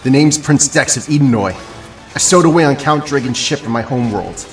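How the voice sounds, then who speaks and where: A man's voice: mid-range voice, animated, a little fast, faint music behind, lightly acted. A man playing a noble, earnest alien warrior prince in an audio drama, formal and courteous.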